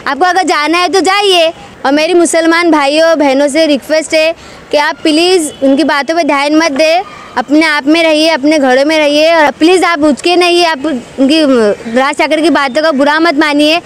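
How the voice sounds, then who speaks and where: A woman's voice: sits high, steady tone, moderate pace, a little hiss or hum. A woman giving an unscripted, impassioned political statement, admonishing and repeating her appeals insistently.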